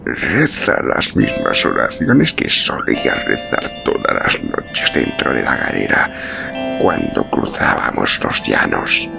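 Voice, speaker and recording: A man playing a weary, hardened adventurer with a deep, rough voice, gruff but kindly, in an audiobook story. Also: wildly swooping pitch, takes it slowly, over music, heavily acted. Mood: gentle reassurance.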